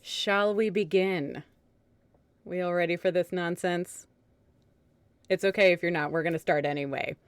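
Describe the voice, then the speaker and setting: Woman, low pitch, dry close sound, casual group host. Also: fairly even intonation, moderate pace, long pauses, smooth, dry recording.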